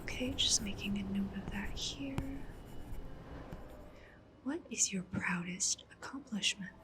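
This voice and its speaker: Female, breathy whisper, mid pitch. ASMR matchmaker interviewer, gentle and attentive, with clear ambient noise and writing sounds behind. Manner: gentle, unhurried, attentive questioning. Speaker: ASMR roleplay performer. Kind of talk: soft-spoken whispered ASMR roleplay interview. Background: sci-fi ambience, writing sounds.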